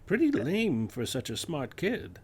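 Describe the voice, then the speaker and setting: A man's voice: fairly high pitch, wildly swooping pitch, measured pace, darkish tone, breathy edge, full character voice. An actor playing a distracted, dry-witted male astronomy professor in a radio-play style script reading. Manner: dry, teasing, offhand.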